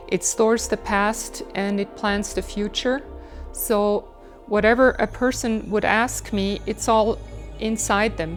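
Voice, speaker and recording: Woman, medium talking calmly about her work, steady explaining tone. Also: medium pitch. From Switzerland. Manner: calm, explanatory, measured. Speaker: automatic writing medium. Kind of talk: documentary interview.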